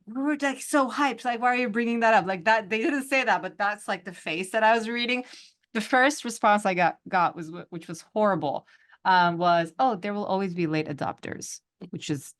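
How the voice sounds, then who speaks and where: A woman hosting a podcast who speaks casually and hesitantly, with many "um" and "like" fillers. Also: on the low side. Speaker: podcast host. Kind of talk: casual interview conversation.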